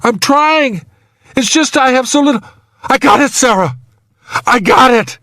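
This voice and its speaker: An audiobook figure of a trapped, injured young man, acted out by a male narrator. The voice is pitched very high and swings widely, strained and pleading with fear. It is recorded dry and close. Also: lively intonation, takes it slowly, a bit bright, dry recording, exaggerated voice. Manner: pleading, strained, near tears. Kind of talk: audiobook character dialogue.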